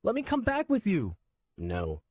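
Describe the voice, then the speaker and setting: A man's voice: wildly swooping pitch, normal speed, no echo, clean studio sound, exaggerated voice. A man voicing a frightened young boy who pleads through tears in an audiobook. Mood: wailing. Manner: high, pleading and tearful.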